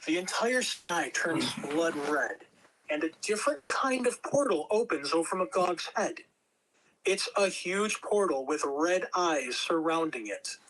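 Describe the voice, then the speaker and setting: Slow-paced woman reading a dramatic script. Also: on the low side, animated, a bit bright, low-quality recording. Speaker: script reader. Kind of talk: scripted narration at a table read.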